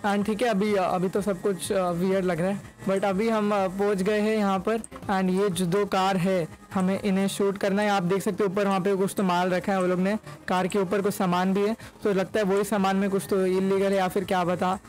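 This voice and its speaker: A man's voice: high-pitched, little pitch movement, moderate pace, few pauses, a little mellow, rough recording. A young man giving chatty, casual gameplay commentary, mixing in English words, close on a desk mic. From India. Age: young adult. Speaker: gaming YouTuber. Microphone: desk microphone close to mouth.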